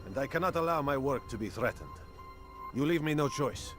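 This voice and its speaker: A man's voice: medium pitch, expressive, lightly acted. A man playing a taunting slave trader. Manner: taunting, slow and theatrical. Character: scheming male slave trader, mocking and cold. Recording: game cutscene over loud music score.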